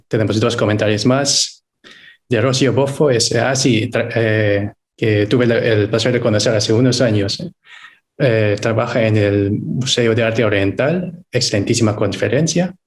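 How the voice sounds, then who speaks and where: A man with a fairly low voice moderates a formal online event. He reads out comments and closing remarks politely, often hesitating as he goes. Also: unhurried. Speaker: webinar moderator from an embassy.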